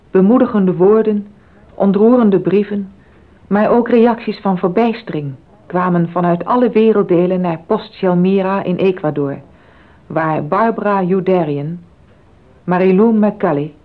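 A woman performing as the solemn, measured narrator of a radio drama. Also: mid-range voice, lightly acted. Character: solemn narrator of a true tragedy. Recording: thin phone-like old recording.

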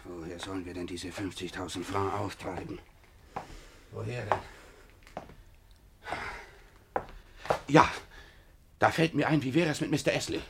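Lovesick young gambler in old radio play, low gravelly voice, intense, hissy noisy recording. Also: male voice, normal speed. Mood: agitated. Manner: theatrical, urgent, breathless whispers to outbursts. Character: impassioned young gambler, lovesick and feverishly obsessive. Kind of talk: German radio drama acting.

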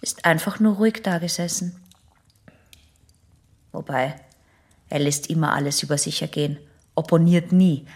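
An actress playing a reluctant, wistful young patient in an intimate radio drama, with a husky, breathy voice. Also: female voice, low voice, moderate pace, long pauses, darkish tone. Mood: reflective, hesitant.

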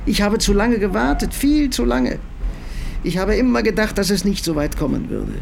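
A man's voice: high-pitched, big pitch swings, normal speed, creaky, a little mellow, a little hiss or hum, heavily acted. Bitter tired man on the run, formal n dry, radio play, train ambience behind him. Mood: agitated, weary. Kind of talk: German radio play dialogue.

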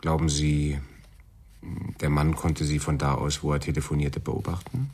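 An attentive police sergeant in a radio crime drama. The voice is low and somewhat hoarse-edged, and he speaks carefully, with deference to his superior. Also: flat delivery, moderate pace, darkish tone, heavily acted. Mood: probing.

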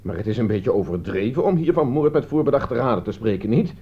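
Husky, scoffing young murder suspect. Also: male voice, mid-range voice, expressive, quick pace, mellow. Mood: scoffing, defensive. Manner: protesting, mocking. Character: cornered young male heir, defensive, scoffing, flippant. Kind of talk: radio play acting. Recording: dull lo-fi radio recording.

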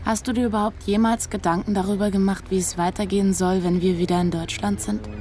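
A woman's voice: mid-range voice, little pitch movement, fast, darkish tone, slight background noise, natural voice. An actress playing a searching, disillusioned young woman in a naturalistic radio drama, talking pensively. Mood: reproachful.

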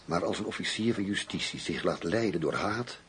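A low-voiced police investigator in a radio drama. He is proud and driven, weighing his words and then pressing them home. Some ambient noise with animal sounds sits behind him. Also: expressive, brisk, fairly bright tone. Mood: measured.

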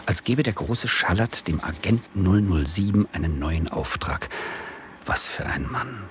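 An actor playing a gullible, easily dazzled would-be speculator, wry and musing, narrating and talking in a 1970s German radio play. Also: male voice, deep voice, animated, unhurried, a little hiss or hum. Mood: musing, impressed.

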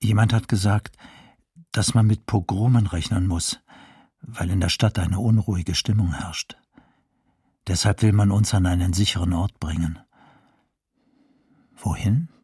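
An anxious townsman in a radio drama, sharing rumours in a hushed way. The voice is low, very breathy and a little distant from the microphone. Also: fairly even intonation, unhurried, pauses often, dry recording, studio quality, exaggerated voice. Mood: uneasy, hushed.

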